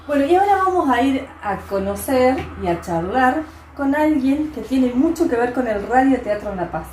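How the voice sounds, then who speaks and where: An Argentine woman hosts a conversational interview in an Entre Ríos accent. Her voice sits a bit high and has a soft, mellow tone. The recording is echoey, with noise behind her. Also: expressive, moderate pace. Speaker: interviewer, host. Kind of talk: conversational local history interview. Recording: roomy echo, clear background noise.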